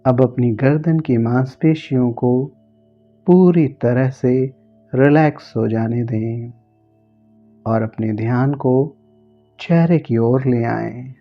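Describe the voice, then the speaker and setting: Very slow-paced man guiding meditation. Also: mid-range voice, muffled recording, faint music behind. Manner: gentle instructions in short, spaced phrases. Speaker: yoga nidra guide. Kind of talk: guided relaxation meditation instruction.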